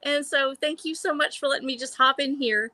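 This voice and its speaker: A woman in her sixties with a bright, sharp-edged voice that sits a little high. She talks in a bubbly, laugh-filled way as a guest on a late-night video stream. Her sound is dry and close, coming over a home computer mic. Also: fairly even intonation, fairly quick, no echo. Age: 60s. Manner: bubbly and chatty, laughs often. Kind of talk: casual chat on a live stream.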